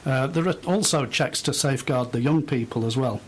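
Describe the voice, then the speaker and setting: A middle-aged man giving thoughtful, halting answers as a guest in an unscripted radio interview. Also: medium pitch, a little hiss or hum. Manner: hesitant, thoughtful, halting phrases. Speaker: interview guest, volunteer host.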